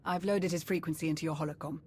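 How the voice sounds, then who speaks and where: A woman's voice: deep voice, steady tone, fast talker, a little mellow, slight background noise, natural voice. An actress playing a loyal, efficient female military aide in a video game, formal and businesslike.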